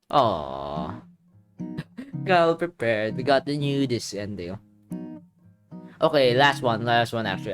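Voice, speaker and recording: Animated man reading a game story aloud. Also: medium pitch. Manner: animated, exclaiming reactions between read passages. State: voice tiring and strained. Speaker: gaming video creator.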